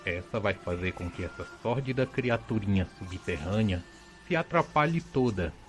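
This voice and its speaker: A man's voice: on the low side, expressive, normal speed. A man giving scripted storybook narration for an audio drama. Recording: music behind the voice.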